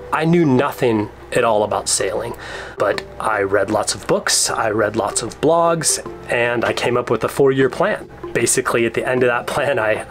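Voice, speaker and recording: A man's voice: mid-range voice, fairly bright tone. American man giving a casual boat tour. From Arizona, United States. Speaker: sailing vlogger and boat owner. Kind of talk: casual explanatory tour and interview to camera.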